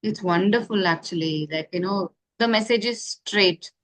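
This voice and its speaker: A woman's voice: medium pitch, moderate pace. A woman speaking fluently and encouragingly as a partner in a casual English conversation.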